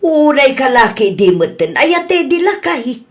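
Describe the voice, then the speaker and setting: A woman's voice: high voice, unhurried. A woman with a Filipino accent playing a middle-aged, exasperated, nagging wife in a comedy radio drama. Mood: reproachful, exasperated. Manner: reproachful, expressive.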